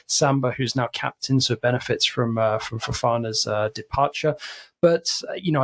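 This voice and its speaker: A man giving long analytical answers as a football pundit on a podcast panel. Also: mid-range voice.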